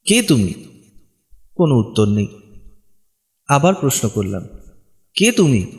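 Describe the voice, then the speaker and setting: A man narrating short stories from a script as a storyteller, in a dramatic style. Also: medium pitch. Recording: long reverb, music behind.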